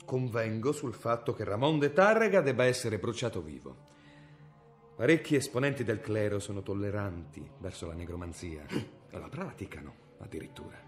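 An actor playing a stern, cold medieval inquisitor in a radio drama, speaking in a severe, commanding, formal way. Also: male voice, fairly low pitch, animated, normal speed, soft background music, close to an everyday voice. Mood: resolute, cold.

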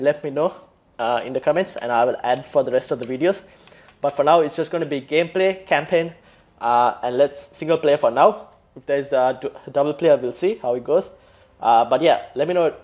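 A man's voice: fairly high pitch, darkish tone. A young man talking casually to camera as a gaming channel host, indoors. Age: young adult. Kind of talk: casual series introduction to camera. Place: small indoor room.

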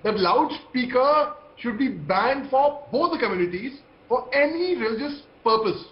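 High-voiced Indian man, echoey video call. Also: lively intonation, measured pace, highs cut off. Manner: emphatic, repetitive. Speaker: TV debate panelist, army veteran. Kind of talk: impassioned debate commentary. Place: indoor room on video call. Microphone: video call microphone.

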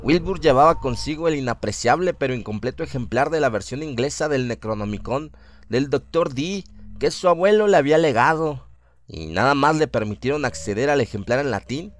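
A man narrates a horror tale as a radio drama. His voice sits at a middle pitch, and he delivers the literary prose in a measured storyteller's way. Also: expressive.

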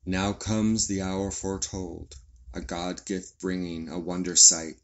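A man reading poems aloud in a solemn, deliberate way. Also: low voice, little pitch movement, lo-fi sound. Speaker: poetry reader. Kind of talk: slow poetry recitation.

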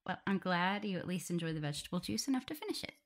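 A woman playing a gentle, playful omega werewolf in an ASMR audio roleplay, talking softly, soothingly and a little hesitantly as she looks after her partner. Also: fairly low pitch, lively intonation, very fast. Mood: fond, reassuring. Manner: soft, soothing, hesitant, giggly. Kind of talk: soft ASMR roleplay, intimate one-sided dialogue.

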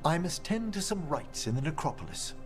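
An actor playing a courteous, refined older gentleman necromancer, speaking formally in video game dialogue. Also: fairly high pitch, sing-song, a little fast, over music. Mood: gentle invitation. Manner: formal, polite.

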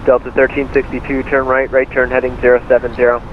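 A man is directing aircraft as a controller over a narrow, muffled radio channel. His phrasing is clipped and procedural, delivered quickly, with a steady drone of noise behind it. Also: medium pitch. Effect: radio transmission. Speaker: air traffic controller. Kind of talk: radio air traffic instructions.

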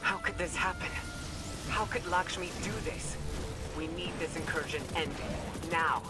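A man's voice: on the high side, fairly even intonation, measured pace, over loud music, natural voice. A man playing a stern, commanding military leader giving urgent orders in a game. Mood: alarmed, demanding.

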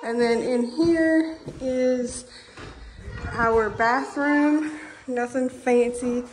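A woman's voice: high voice, slight background noise, low-quality recording. A young woman giving a casual, giggly tour of her empty new house, filmed on a phone. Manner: bubbly, giggly, quick tour narration. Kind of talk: casual chat. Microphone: handheld phone camera.

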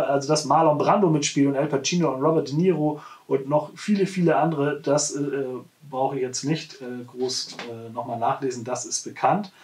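Man presenting casually in an echoey room. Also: medium pitch. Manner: conversational, rambling with self-corrections. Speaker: host of a weekly shop video show. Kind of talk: casual product presentation to camera. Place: small, cluttered indoor room, seated at a desk. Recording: roomy indoor echo.

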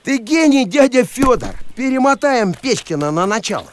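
An actor voicing an eager, naive and boastful young dog in a cartoon, cocky and excitable. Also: male voice, very high-pitched, normal speed, a little hiss or hum. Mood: excited. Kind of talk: animated cartoon acting. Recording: music under the voice.

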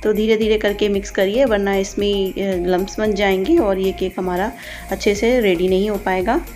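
A woman's voice: medium pitch, steady tone, normal speed, darkish tone, faint music behind. A woman giving informal step-by-step instructions as a cooking channel host. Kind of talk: recipe voice-over, step-by-step instruction.

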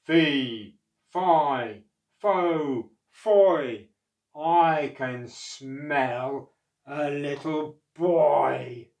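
A reader voicing a huge fierce male giant who chants and shouts. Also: mid-range voice, very slow, gravelly, reverberant, distant mic. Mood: bellowing.

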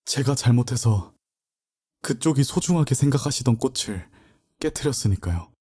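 A man's voice: mid-range voice, lively intonation, normal speed, mellow, breathy edge. A man playing a polite, stiff young male student who speaks formally and apologetically. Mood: earnest. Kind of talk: amateur audio drama acting.

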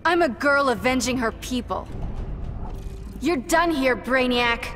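A woman's voice: high-pitched, a little slow, fairly bright tone. A young woman playing an earnest, defiant, sassy superheroine in a video game, confident and quippy. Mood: earnest, resolute. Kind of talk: video game character acting. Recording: music under the voice.

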